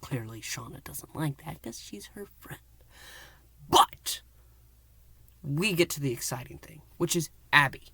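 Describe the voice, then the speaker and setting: A man's voice: fairly high pitch, lively intonation, a little slow, long pauses, sharp tone, breathy edge, no echo. Guy doing a chill review recap, playful, whispers a lot, dry sound. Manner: playful, often dropping into a whisper. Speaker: podcast reviewer.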